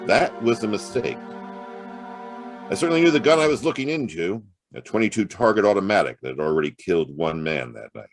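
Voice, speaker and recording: A man's voice: fairly low pitch, very animated, fairly quick, soft background music. An actor playing a world-weary, wisecracking private detective in a noir audio drama, with wry, clipped tough-guy delivery. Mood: grim, tense. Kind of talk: noir radio-play acting and first-person narration.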